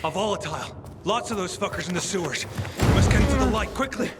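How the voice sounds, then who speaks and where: A man's voice: sits high, animated, fast talker, music in the background. An actor playing a frightened, urgent male survivor in a video game, with a strained delivery. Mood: urgent, commanding. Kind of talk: video game cutscene acting. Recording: very noisy ambience, off-mic.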